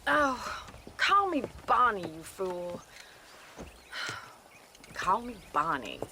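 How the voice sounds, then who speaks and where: Bright, lively frontier ranch woman. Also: medium pitch, very slow, slight background noise, exaggerated voice. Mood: teasing. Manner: wry, teasing, forthright. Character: plucky, teasing, warm-hearted young frontier rancher woman. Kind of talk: video game character acting.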